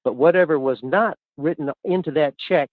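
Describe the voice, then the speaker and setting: A man calling in to argue his case in measured, formal, legalistic wording. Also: a little high, dry recording. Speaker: phone-in caller. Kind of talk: argumentative explanation. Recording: narrow tinny phone line.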